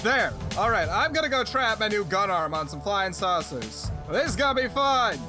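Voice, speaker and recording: A man's voice: high-pitched, sing-song, moderate pace, over music. A man playing a cocky, eager gunner crewman in a science fiction audio drama, breezy and gung-ho. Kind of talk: cartoonish audio drama acting.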